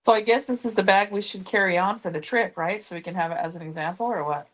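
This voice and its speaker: A woman's voice: medium pitch, fast, poor audio. A woman co-hosting a live sewing session, chatting casually with playful teasing. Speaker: co-host sewing along. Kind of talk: casual live-stream chat.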